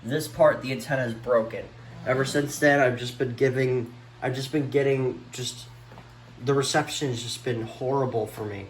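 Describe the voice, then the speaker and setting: A man's voice: mid-range voice, fairly even intonation, normal speed. Male vlogger, casual and unscripted, mildly exasperated rambler. Roomy echo, slight background noise. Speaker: vlogger showing a weather radio collection. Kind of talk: casual unscripted show-and-tell. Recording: echoey room with faint background noise.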